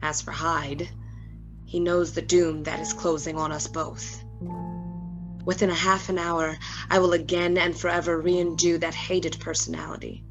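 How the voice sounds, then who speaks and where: A despairing scientist at the end of life, confessing in a gothic radio monologue. The delivery is low for a woman, very slow and solemn, with prominent music underneath. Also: level tone, highs cut off. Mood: despairing, resigned. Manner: solemn, measured, theatrical. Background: loud music.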